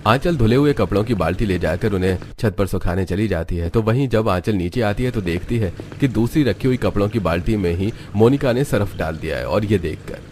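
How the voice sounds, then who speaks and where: Male narrator, lowish pitch, scripted storytelling delivery. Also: animated, fairly quick, few pauses, darkish tone, a little hiss or hum.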